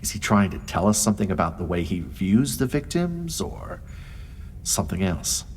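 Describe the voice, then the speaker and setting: An actor playing a weary, wry crime scene investigator, dryly narrating a noir audio drama. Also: male voice, deep voice, sing-song, moderate pace, a little mellow, a little hiss or hum. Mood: brooding. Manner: world-weary, dry, sardonic.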